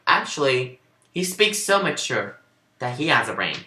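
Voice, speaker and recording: A woman's voice: extremely low pitch, sing-song, normal speed, sharp tone. A woman giving a mocking amateur dramatic reading of fan fiction, breaking off into sarcastic asides. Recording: roomy, echoing home recording.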